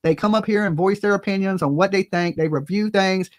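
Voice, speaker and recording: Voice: mid-range voice, brisk. A commentator talking casually and emphatically to viewers, giving opinions in colloquial, salty language and often checking in with tag questions. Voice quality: colloquial, salty, profane speech. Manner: emphatic, informal, repeatedly tags phrases with "right" and "okay". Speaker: commentary channel host.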